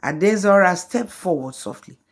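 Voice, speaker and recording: A woman telling a folktale as scripted storybook narration, in a slow, measured way. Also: on the low side, expressive, slightly rough.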